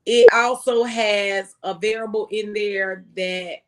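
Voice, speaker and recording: A woman's voice: mid-range voice, fairly even intonation, slow pace. An American woman speaking as a former tax agent, giving folksy, emphatic advice and repeating phrases for stress. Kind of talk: impassioned conversational tax advice.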